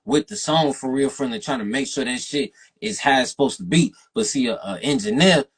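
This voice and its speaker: A man's voice: medium pitch, brisk, breathy edge. A young man hosting a radio interview, talking loose and slangy with lots of "you feel me". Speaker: radio show host interviewing an artist. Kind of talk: casual interview chat.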